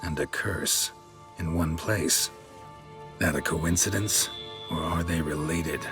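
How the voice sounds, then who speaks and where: A stoic monster hunter for a video game in a very low voice. He is sardonic and pragmatic, dropping words into short, deadpan phrases, with faint music underneath. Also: takes it slowly, heavily acted. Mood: businesslike. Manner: terse, clipped, deadpan. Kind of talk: video game dialogue acting. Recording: music behind the voice.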